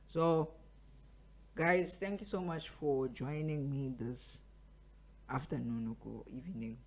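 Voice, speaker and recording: A man's voice: mid-range voice, darkish tone, husky. A man of twenty-three, hosting a podcast as a casual motivational monologue and repeating phrases for emphasis. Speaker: podcast host.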